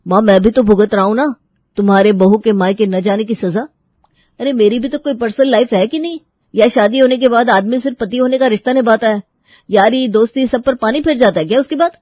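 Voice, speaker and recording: A woman's voice: fairly high pitch, a little fast, no echo, dull audio, close to an everyday voice. A woman voicing a fed-up, sarcastic young married son who complains, in an acted audio story. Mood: fed up, whining.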